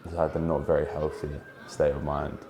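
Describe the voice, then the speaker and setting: A man's voice: extremely low pitch, level tone, fairly quick, gravelly, a crowd in the background. A twenty-year-old man from Jordan and the UK gives earnest, heartfelt, reflective personal testimony. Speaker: documentary subject telling his family's story.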